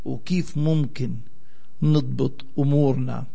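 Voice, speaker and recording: A man's voice: mid-range voice, fairly even intonation, a little slow, creaky, dark tone. A man with a Palestinian Arabic accent hosting a live radio call-in show and addressing listeners conversationally.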